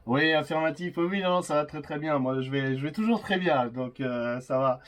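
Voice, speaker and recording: A man's voice: fairly high pitch, moderate pace, very noisy. Man chatting hesitantly on CB. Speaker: CB radio operator. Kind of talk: casual radio chat.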